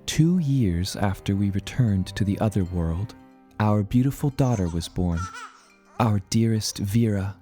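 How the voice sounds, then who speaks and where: A man playing an earnest, reflective adventurer who narrates his journal in measured, literary audio drama storytelling. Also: lowish voice, dark tone. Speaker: narrating character. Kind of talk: scripted first-person audio drama narration.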